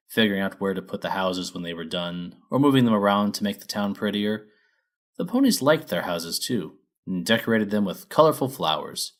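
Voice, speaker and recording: Man, fairly low voice, scripted storybook narration, story reader.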